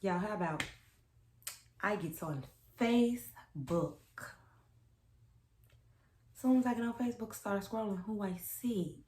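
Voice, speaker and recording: A woman's voice: mid-range voice, slow pace, long pauses, darkish tone. A young woman telling a personal story in a casual, sassy, emphatic way, repeating phrases to drive her point home. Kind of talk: casual storytime chat to camera. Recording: roomy echo.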